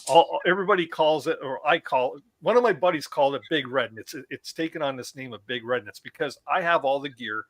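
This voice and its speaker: A man's voice: a little high, fast, hardly any pauses, dry recording. A man with a Canadian accent, talking casually as a guest about his outdoor trips, repeating and restarting words as he goes. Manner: chatty, stammers and repeats himself. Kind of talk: casual chat.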